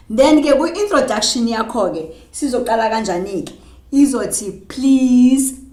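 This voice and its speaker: A woman teacher with a Zulu South African accent, switching between Zulu and English mid-sentence and slowing right down to dictate as she writes. Her voice sits at a middle pitch and rings in a roomy, echoey space. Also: darkish tone. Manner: mixes Zulu and English, dictates slowly while writing. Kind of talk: classroom lesson on job applications.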